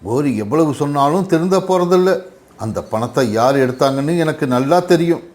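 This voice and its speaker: Male actor as tired cartoon crow husband, fairly high voice, resigned, lamenting, reproachful. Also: expressive, a little fast, darkish tone, natural voice. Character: weary hardworking father crow, long-suffering woodcutter. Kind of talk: Tamil animated moral story acting.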